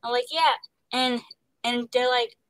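A woman's voice: fairly high pitch, fairly even intonation, measured pace, fairly bright tone, clean tone, no room sound. A young woman who stutters, speaking on a headset over a video call from home, with many fillers and repeated words. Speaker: guest, voice actor. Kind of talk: casual interview chat. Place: home room.

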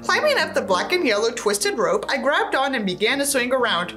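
Lively, high-pitched sarcastic young woman. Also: fairly quick, over music. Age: young adult. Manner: theatrical, sarcastic, profane. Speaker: commentary host reading a book aloud. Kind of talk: dramatic book reading with sarcastic asides. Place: indoors, small set with tinsel backdrop.

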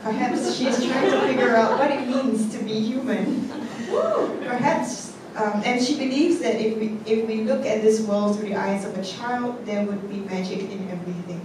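A woman's voice: a little high, fairly even intonation. Woman emcee, reading bios steadily, handheld mic, echoey hall, distant, noisy. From Singapore. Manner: measured, reads from notes with occasional ums. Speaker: event emcee introducing panel speakers. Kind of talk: reading speaker bios aloud. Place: large theatre stage with a seated panel. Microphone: handheld mic, heard from a distance.

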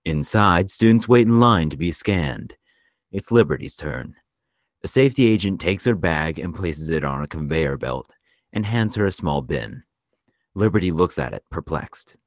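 A man narrating a screenplay at a table read in a measured storytelling delivery. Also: low voice, lively intonation, no room sound, clean studio sound. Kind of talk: scripted narration of stage directions.

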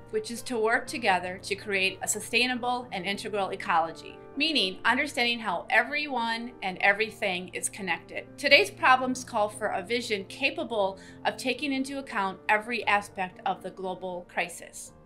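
A woman's voice: medium pitch, pushed and bright. A middle-aged American woman delivering a scripted announcement to camera in an indoor room. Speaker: congregation social justice coordinator. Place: bright indoor room with large windows. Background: background music.

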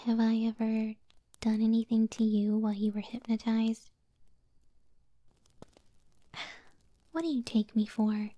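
A woman voicing a possessive, doting young moth-girl girlfriend in an ASMR roleplay, speaking softly, breathily and tenderly, close to the microphone. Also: a little high, fairly even intonation, no room sound. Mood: mildly indignant. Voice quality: soft, breathy, whispery. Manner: slow, tender, teasing. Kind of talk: ASMR audio roleplay, intimate soft speech.

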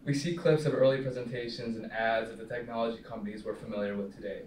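A young man reads stage directions as narrator at a table read, in a medium-pitched voice. He is recorded from a distance in a very roomy space with faint noise. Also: steady tone, fast, a little mellow. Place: small meeting room, indoors.

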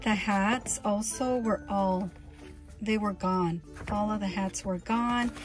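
A woman's voice: medium pitch, slow, faint music behind. A woman chatting casually in a vlog, showing her purchases and gushing, often restarting her sentences.

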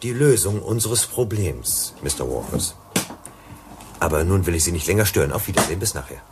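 An actor playing a calm, pensive male analyst in a radio play, measured and reserved in manner. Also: sits low, over loud music. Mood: calm, businesslike. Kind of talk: German radio play acting.

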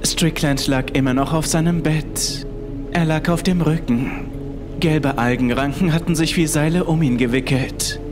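A man's voice: a little high, a little slow. A man reading a scripted first-person horror story as its narrator. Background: music.

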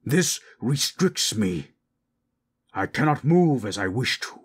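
A man voicing a proud, possessive forest monster in human shape, gravelly and husky, speaking in stilted, imperious phrases for an audio roleplay. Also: medium pitch, very animated, full character voice. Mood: grumbling, irritated. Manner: slow, imperious, formal. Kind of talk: dramatic audio roleplay, stilted formal speech.

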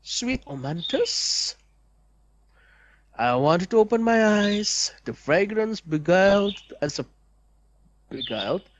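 A young man reads visual novel narration aloud as a let's player, deliberate and storybook-like, with casual asides. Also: high voice, sing-song.

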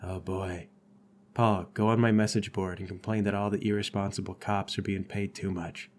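Man playing a deep-voiced grumpy cop. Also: flat delivery, normal speed, darkish tone, natural voice. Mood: deadpan. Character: grumpy, contrary, complaining male cop. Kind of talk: improvised comedic roleplay.

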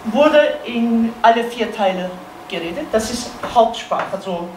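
A woman's voice: on the high side, expressive. Kurdish-accented woman lecturing in an echoey room. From Turkey. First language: Kurmanji Kurdish. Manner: halting, many fillers, gestures while explaining. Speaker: guest lecturer. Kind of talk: university seminar lecture. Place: university seminar room, indoors. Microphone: far camera. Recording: echoey classroom, faint noise.

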